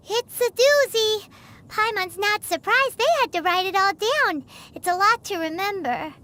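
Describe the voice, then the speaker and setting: A woman voicing a tiny, curious, cheeky childlike fairy companion in a video game, with a squeaky voice and exclamatory manner. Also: sharp tone, heavily acted. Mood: curious, chatty. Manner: refers to herself in the third person, exclamatory. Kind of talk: video game character dialogue.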